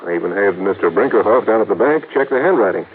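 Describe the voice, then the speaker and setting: A man's voice: lowish voice, expressive, fast talker, slight background noise. An actor playing a flustered, stammering male lawyer in an old radio drama. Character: flustered middle-aged male small-town lawyer. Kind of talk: 1950s radio drama acting. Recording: muffled old radio broadcast.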